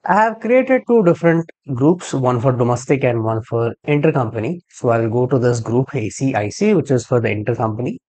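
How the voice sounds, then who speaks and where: Man narrating a software tutorial. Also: mid-range voice, big pitch swings, brisk, hardly any pauses. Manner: step-by-step explaining, spelling out codes. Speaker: software trainer. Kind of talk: screen-share tutorial narration.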